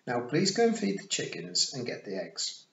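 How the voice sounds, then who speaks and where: A man's voice: mid-range voice, huge pitch swings, moderate pace, creaky, fairly bright tone, pitched above the performer's own voice, full character voice. A man voicing a severe young girl in an audiobook, firm and clipped like a bossy, parental big sister. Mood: evasive, parental. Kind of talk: audiobook character voice. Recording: muffled, roomy recording.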